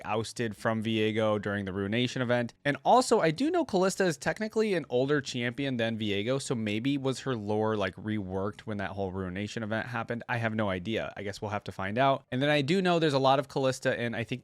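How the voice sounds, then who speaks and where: A man's voice: mid-range voice, dry recording. A man hosting a reaction video, moving between casual commentary and reading aloud.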